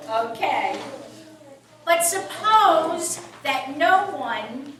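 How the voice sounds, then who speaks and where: A woman's voice: high voice, animated, very slow, fairly bright tone. A woman hosting a children's puppet show, telling a story in simple phrases aimed at young listeners. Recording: very echoey room, distant mic, music behind.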